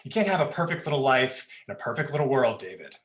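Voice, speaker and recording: A man's voice: medium pitch, very animated, fast, echoey room, lightly acted. A man playing a scornful, domineering killer brother in a horror play reading, with a taunting, emphatic delivery. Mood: contemptuous.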